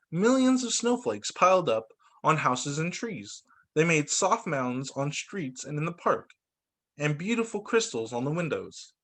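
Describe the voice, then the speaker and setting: Lively male teacher reading aloud. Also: fairly high pitch. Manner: animated, stops to explain to kids. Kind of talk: reading picture books aloud to children. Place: home over a video call. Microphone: laptop mic on video call.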